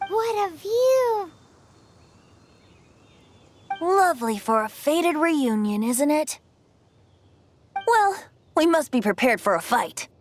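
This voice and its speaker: High, lively young male bard. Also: slow, pauses often, slight background noise, full character voice. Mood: playful, showy. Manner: airy, playful, theatrical. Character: youthful, playful, gentle wandering male bard. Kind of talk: video game voice acting.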